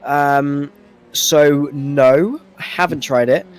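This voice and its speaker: A man's voice: on the high side, steady tone, slow, smooth, faint music behind, rough recording. A man co-commentating on live sim racing, chatty and self-deprecating, drifting into casual banter.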